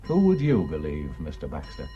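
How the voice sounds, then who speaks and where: A man's voice: rumbling low, huge pitch swings, normal speed, dark tone, muffled recording, soft background music, heavily acted. An actor playing a gossipy, plain-spoken village man with a Northern English accent, telling indignant tales in an emphatic, colloquial way in a radio drama. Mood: eager gossip. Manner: garrulous, emphatic, colloquial storytelling.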